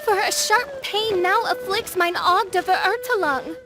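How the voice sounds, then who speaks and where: A woman's voice: extremely high pitch, a little slow, background noise. A woman playing a grandiose teenage girl who styles herself a princess, with florid, archaic diction in a video game. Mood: grand, solemn. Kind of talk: video game cutscene acting.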